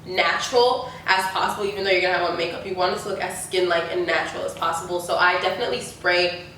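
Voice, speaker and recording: A young woman with a stuffy, congested voice answers viewer questions in a loose, chatty way, dropping likes and ums as she goes. Her voice sits on the lower side for a woman. It rings in a very echoey room, picked up from a little way off the mic with a faint hiss behind it. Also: fairly even intonation, fast talker, pushed and bright, far from the mic. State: stuffy from a sinus infection. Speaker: beauty YouTuber. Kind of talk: casual Q&A chat.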